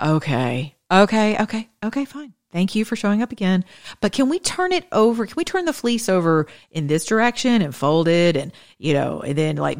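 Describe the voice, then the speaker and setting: A middle-aged woman preaching a sermon, talking casually and with humor, then building to fervent, emphatic preaching. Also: medium pitch. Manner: conversational, joking, rising to fervent preaching. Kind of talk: impassioned sermon.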